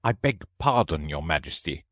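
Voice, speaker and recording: A man's voice: rumbling low, very animated, moderate pace, fairly bright tone, no room sound, exaggerated voice. Man playing a nervous, apologetic storybook hatter.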